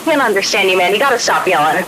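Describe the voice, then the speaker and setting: A woman's voice: mid-range voice, expressive, fast, a crowd in the background, lightly acted, rough recording. A woman playing an exasperated park worker on the radio in a tabletop game, casually nagging and coaxing a colleague. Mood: exasperated, insistent.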